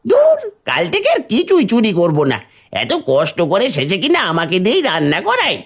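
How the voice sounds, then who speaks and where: A woman's voice: mid-range voice, huge pitch swings, brisk, a little hiss or hum. A woman voicing a sly, wheedling male thief and grumbling husband in a cartoon. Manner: scheming, wheedling, exaggerated. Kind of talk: cartoon voice acting.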